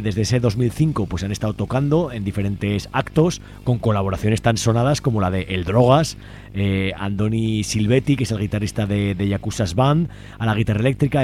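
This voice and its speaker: A man's voice: on the low side, mellow, slight background noise. A man with a Spanish accent from Spain casually presenting music as a radio host, informal and often ending phrases with a checking question. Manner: informal, frequently tagging phrases with "¿no?".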